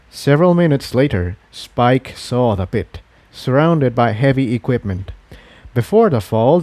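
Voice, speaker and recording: Guy narrating an adventure fanfic, average pitch, dry close sound, scripted story reading. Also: darkish tone, no echo. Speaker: fan fiction narrator.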